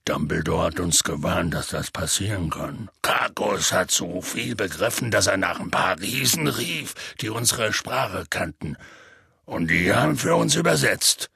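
A man voicing a huge, gruff, good-natured male half-giant who tells a rambling, folksy story in a deep gravelly rumble. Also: expressive, measured pace, sharp tone, no room sound, clean studio sound, exaggerated voice. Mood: telling tale. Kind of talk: audiobook character voice.

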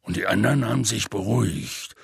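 A man voicing a huge, gruff, good-natured male half-giant who tells a rambling, folksy story in a deep gravelly rumble. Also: fairly quick, dry recording, studio quality, full character voice. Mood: telling tale. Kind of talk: audiobook character voice.

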